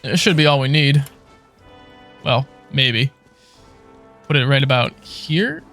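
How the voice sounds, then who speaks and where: A man's voice: medium pitch, slow, a bit bright. A man giving casual live gameplay commentary as a streamer, with a playful, wry way of talking. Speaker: game streamer. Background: faint game music.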